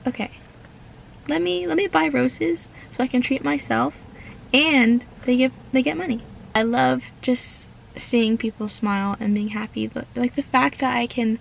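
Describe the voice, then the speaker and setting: Gravelly-voiced young woman vlogging from her car. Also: on the high side, slight background noise, poor audio. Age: late teens. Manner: earnest, grateful, rambling with fillers. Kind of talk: reflective casual vlog. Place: inside a parked car.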